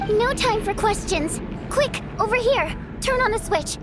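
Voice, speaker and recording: A woman voicing a chirpy, upbeat female guardian spirit who gives quick, urgent instructions in a game. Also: very high voice, animated. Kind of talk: game voice acting. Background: game music.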